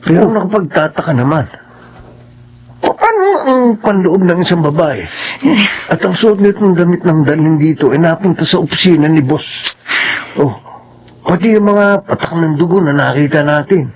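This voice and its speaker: An actor playing a puzzled, suspicious worker in a Filipino radio horror drama, with a Filipino accent. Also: male voice, fairly high pitch, lively intonation, moderate pace, long pauses, darkish tone. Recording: dull, noisy radio drama audio.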